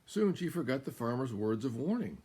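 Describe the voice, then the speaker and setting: An older American man reading a children's picture book aloud, deliberate and expressive, with pauses. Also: mid-range voice, slightly rough. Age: older, about 70s. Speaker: guest storyteller. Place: small room at home, indoors.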